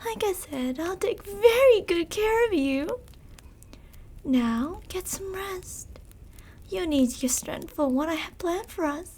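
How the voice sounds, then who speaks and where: Slow, high-voiced, sadistic vampire mistress. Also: female voice, lively intonation, mellow, full character voice. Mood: possessive, soothing. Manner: slow, commanding, coaxing, with whispered endings. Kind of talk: ASMR roleplay, intimate dramatic monologue.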